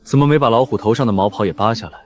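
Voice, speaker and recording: A man's voice: mid-range voice, racing, natural voice. A man acting a wry, detached, ancient immortal sage in a youthful form for an audio drama. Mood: wry, teasing. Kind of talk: Chinese audio drama dubbing for a comic drama.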